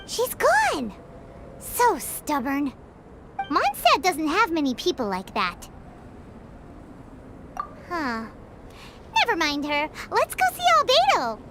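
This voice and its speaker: A woman voicing a small, excitable, blunt fairy companion in a video game who talks about herself in the third person. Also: high voice, takes it slowly, pauses often, very noisy, full character voice. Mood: grumbling. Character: tiny excitable floating fairy companion, female.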